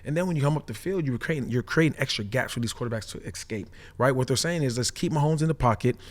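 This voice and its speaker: Brief: male ex-player analyst, mid pitch, rapid explainer, keeps asking "right?". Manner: fast, explanatory, frequent "right?" tags. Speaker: former pro safety, co-host analyst. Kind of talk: casual sports chat.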